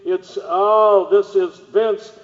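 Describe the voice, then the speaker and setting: A man's voice: high-pitched, little pitch movement, slow pace. A man acting as a panicky, stammering field reporter at an alien attack in a radio drama. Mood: frantic. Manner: breathless, stammering, repeats himself. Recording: dull reverberant lo-fi audio.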